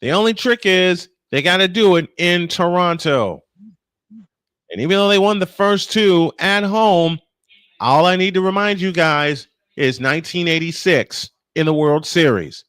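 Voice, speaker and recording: A man's voice: high-pitched, a little slow, fairly bright tone, clear, resonant tone, no room sound, clean studio sound. A man hosting a live baseball broadcast as play-by-play announcer, talking to listeners in a folksy, direct way. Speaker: play-by-play sports broadcaster and host. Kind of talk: live baseball commentary and sign-off.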